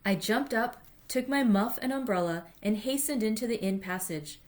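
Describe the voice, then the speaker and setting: A woman's voice: fairly high pitch, moderate pace. A woman hosting a read-aloud, moving between informal, self-deprecating chat and reading literary prose. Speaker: library read-aloud host. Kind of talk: chatty introduction, then literary audiobook-style reading.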